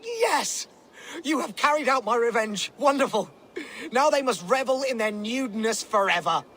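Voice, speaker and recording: An actor playing a flamboyant, fanatical preacher in a video game, pompous and florid, with a gleeful streak of vengeance. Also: male voice, very high voice, sing-song, normal speed, bright, forceful tone, slight background noise, exaggerated voice. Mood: jubilant. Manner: pompous, grandiose, florid declamation. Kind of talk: theatrical video game character acting.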